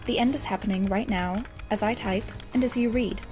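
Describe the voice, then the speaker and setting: A woman's voice: medium pitch, fairly quick, darkish tone, faint music behind, natural voice. A woman playing a wry, erudite teenage girl, reading out her doomsday game guide in an audio drama with a verbose, sardonic manner. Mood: dry, grave. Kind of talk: scripted audio drama monologue.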